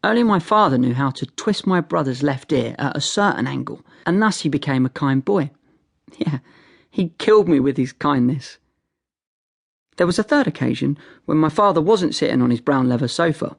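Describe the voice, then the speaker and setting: An actor playing a naive boy narrator in a radio drama, recalling his Communist-era childhood in a deadpan, matter-of-fact way. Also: on the high side, normal speed, creaky. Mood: wry, deadpan.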